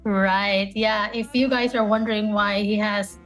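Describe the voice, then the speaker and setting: A woman's voice: mid-range voice, steady tone, measured pace, a bit bright, soft background music. A young woman with a Filipino accent, hosting a podcast interview in a friendly, chatty way with many fillers. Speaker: podcast host.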